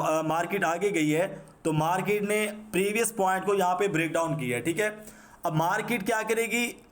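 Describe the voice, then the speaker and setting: A man with a Pakistani accent and a sore throat, teaching in an unscripted, repetitive screen-share tutorial style and mixing in English terms. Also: high voice. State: sore throat, clearing it now and then. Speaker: trading instructor.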